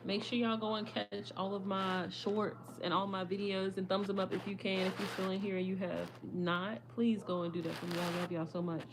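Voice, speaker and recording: A woman, her voice slightly hoarse, chatting casually and rambling to viewers on a livestream. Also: mid-range voice, little pitch movement, a little fast, hardly any pauses, background noise. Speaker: vlogger.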